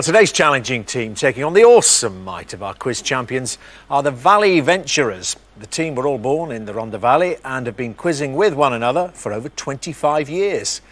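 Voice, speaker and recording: A man hosting a television quiz show in a studio, friendly and bantering, reading questions with measured pauses. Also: medium pitch, fairly bright tone.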